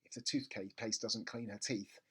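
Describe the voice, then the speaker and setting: A man's voice: on the low side, fairly even intonation, unhurried, husky, voice pushed down. A man voices a mischievous young boy from a children's storybook. He sounds gleeful and scheming, as if hatching a naughty plan.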